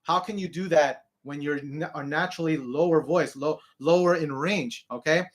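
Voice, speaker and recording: A man with a deep speaking voice teaching a singing lesson, who ends nearly every phrase with "okay?" and sings short demonstration notes. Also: normal speed.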